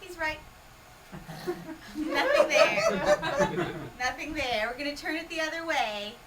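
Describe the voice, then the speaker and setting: A woman's voice: high-pitched, takes it slowly, over a noisy crowd. A woman from Hawaii performing magic, teasing and quizzing a small parlour audience with comic patter. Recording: distant mic with steady background noise.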